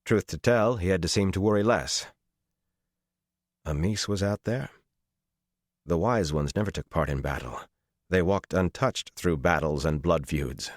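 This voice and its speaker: A man narrating a fantasy audiobook in a scripted, dramatic style with measured, deliberate delivery. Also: sits low, no echo, clean studio sound.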